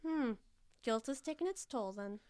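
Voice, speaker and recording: A young true-crime obsessive in an audio drama. She is nosy and wry, pitched a little high, leaning on key words with theatrical relish. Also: lively intonation, moderate pace, darkish tone, no echo, studio quality. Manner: wry, insistent, theatrical emphasis. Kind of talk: live audio drama acting.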